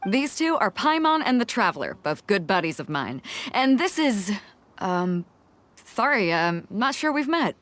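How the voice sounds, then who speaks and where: An actress playing a hearty, confident seafaring captain in a video game, casual and chummy with a bit of swagger. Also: female voice, mid-range voice, very animated, a little slow, a bit bright. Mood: jovial, friendly.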